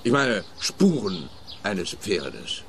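A man's voice: low-pitched, wildly swooping pitch, extremely slow, vocal fry, a little hiss or hum, exaggerated voice. A man with a Swiss German accent playing a self-important, muddled police officer in a radio play. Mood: pompous. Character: dim, pompous male police officer.

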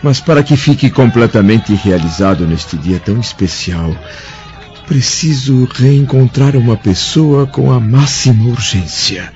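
A man's voice: mid-range voice, animated, a little mellow, natural voice. A male actor playing a grave, weary, embittered Roman senator in a radio drama. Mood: weary politeness. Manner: slow, grave, brooding. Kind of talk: radio drama acting in a historical serial. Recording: dull lo-fi radio drama, faint music.

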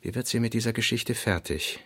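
A man with a gravelly voice reading scripted literary narration for a radio drama. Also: mid-range voice, a little fast, fairly bright tone, dry recording, clean studio sound.

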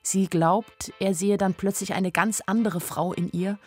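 A woman's voice: medium pitch, steady tone, unhurried, darkish tone, soft background music, close to an everyday voice. An actress in a radio play voicing an observant young woman who tells her story in an intimate, matter-of-fact way. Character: observant, plain-spoken young woman narrating her life in 1930s Germany. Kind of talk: first-person radio play narration.

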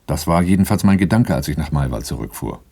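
An actor playing a wary handyman and driver, narrating his own story in a measured, matter-of-fact, introspective way for a 1980s radio play. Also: very deep voice, expressive, creaky, dark tone, full character voice. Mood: brooding. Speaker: first-person narrator.

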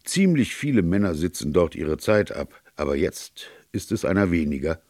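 A man voicing a grave, plain-spoken police colonel in a storybook reading, earnest, formal and deliberate. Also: low-pitched, big pitch swings, darkish tone, dry recording, clean studio sound. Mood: grave, emphatic. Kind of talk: audiobook character dialogue.

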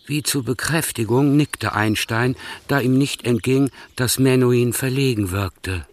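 An actor playing the narrator of a radio play, reading literary prose in a measured, precise way. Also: male voice, mid-range voice, lightly acted.

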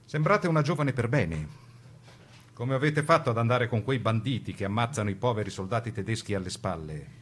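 An actor playing a menacing, coldly polite fascist interrogator in a radio drama, with a condescending manner. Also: male voice, mid-range voice, lively intonation, brisk, slight background noise, natural voice. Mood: mocking, insinuating. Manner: condescending, insinuating. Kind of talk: stage radio drama dialogue.

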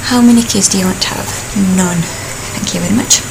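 A woman's voice: mid-range voice, fairly quick, background noise. Chatty young woman, posh Yorkshire accent. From South Yorkshire, England. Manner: chatty, self-mocking, lots of ums. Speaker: vlogger. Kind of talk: casual Q&A vlog.

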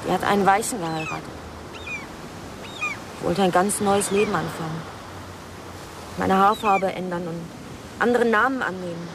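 A woman's voice: on the low side, moderate pace, long pauses, close to an everyday voice. An actress playing a defiant, self-dramatizing teenage girl in a radio crime drama, talking bluntly and gossipily. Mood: sullen, gossipy. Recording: noisy track with sea-like ambience.

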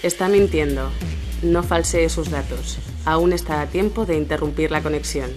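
An actress voices an automated system in a radio drama. The female voice sits low and speaks in measured, formal warnings with an ominous edge. Music plays clearly behind it. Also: natural voice. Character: ominous computer system voice warning a new user.

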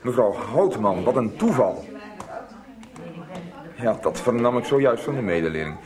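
An actor playing a stiff, formal school official in a radio soap, officious and easily indignant. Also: male voice, medium pitch, big pitch swings, normal speed, a crowd in the background, lightly acted. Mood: indignant, flustered. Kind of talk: 1990s Dutch radio soap acting.

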